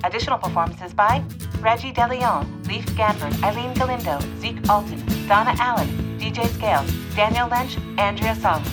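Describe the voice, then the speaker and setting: A woman's voice: on the high side, lively intonation, moderate pace, loud music behind the voice. A woman announcer giving a scripted, orderly read of production credits. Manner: clear, orderly list reading.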